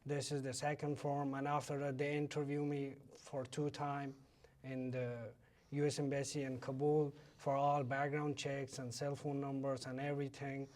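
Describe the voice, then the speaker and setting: A man from Afghanistan with an Afghan accent, a native Pashto speaker, answering interview questions in halting English. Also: mid-range voice, monotone, a little mellow. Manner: halting, non-fluent English with repeated phrases. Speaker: interview guest, former military interpreter.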